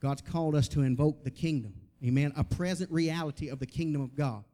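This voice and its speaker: A male pastor with a Southern American accent and a fairly high voice preaches to his congregation. He speaks in a folksy, colloquial way, urging people on with rhetorical questions and calls for response. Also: fairly quick, dark tone. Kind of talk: charismatic church sermon. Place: church, preaching to a gathered congregation.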